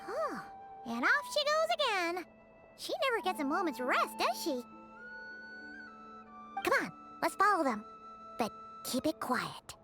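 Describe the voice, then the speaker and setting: A woman's voice: extremely high pitch, sing-song, a little slow, long pauses, loud music behind the voice, heavily acted. A woman voicing a small, eager, childlike fairy companion in a video game, exclamatory and caring. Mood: impatient. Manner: refers to self in third person, exclamatory. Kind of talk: video game character acting.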